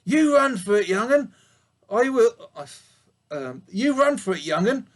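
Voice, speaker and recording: A man's voice: high voice, big pitch swings, a little slow, voice pushed up, heavily acted. Man doing a puffing old country ewe. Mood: mournful. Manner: puffing, folksy rural speech. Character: old motherly country ewe, fretful and kind.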